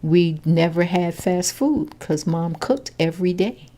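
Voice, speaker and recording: Low-voiced older woman recalling her childhood. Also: unhurried. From Chicago, Illinois. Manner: calm, matter-of-fact storytelling. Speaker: interviewee, grandmother. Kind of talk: oral history interview.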